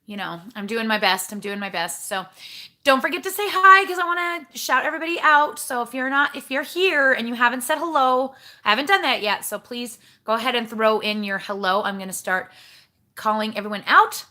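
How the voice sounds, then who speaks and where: A woman's voice: fairly high pitch, fairly quick, pushed and bright. A woman hosting a casual livestream from home, chatting with viewers and greeting them by name in a bubbly, rambling way. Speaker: livestream host. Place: home kitchen.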